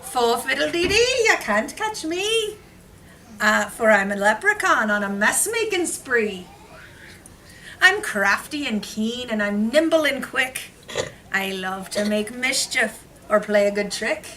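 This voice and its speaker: Woman doing a high, sing-song mischievous little leprechaun. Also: big pitch swings, slow, bright, forceful tone, heavily acted. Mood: playful taunting. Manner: sing-song rhyming taunts. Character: wee mischievous male leprechaun, sly and boastful. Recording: noisy room, distant mic.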